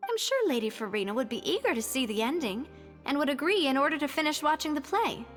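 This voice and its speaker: A woman playing a young female gang boss in a game, teasing and sly, pausing before odd suggestions. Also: high-pitched, sing-song, a bit bright, faint music behind. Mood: playful.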